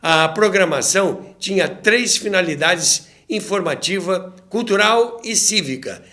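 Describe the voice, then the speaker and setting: A man's voice: high-pitched, expressive, a little slow, pushed and bright. A Brazilian man hosting and narrating a scripted history documentary, explaining things in a didactic way with conversational asides to the listener. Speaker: host and narrator of a radio history show.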